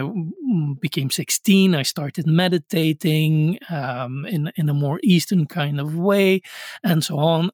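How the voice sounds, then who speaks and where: A man's voice: fairly high pitch, a little mellow, no room sound. Belgian man, thoughtful, dry close sound. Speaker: guest, scholar of religion. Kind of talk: interview answers.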